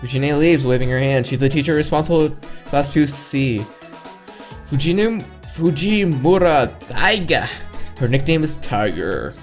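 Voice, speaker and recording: A man reads a visual novel aloud as a let's player, with a very animated, swinging delivery. He drops in casual, playful asides, and game music plays softly behind him. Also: a little high, normal speed.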